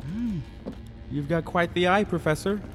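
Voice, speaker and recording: A man's voice: high-pitched, a little slow, a bit bright, soft background music, rough recording. A man playing a wary, sardonic, put-upon jungle guide in an audio drama. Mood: dry sarcasm. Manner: sardonic, skeptical. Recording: clear background noise and ambience.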